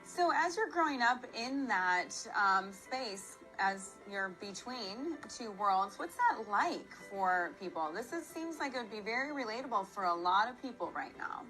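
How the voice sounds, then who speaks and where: A woman TV host asks her guest questions in an upbeat, friendly way. Her voice is bright, at a middle pitch for a woman. Faint music sits under her. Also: sing-song, a little slow, few pauses, rough recording. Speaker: TV news host. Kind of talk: morning TV interview.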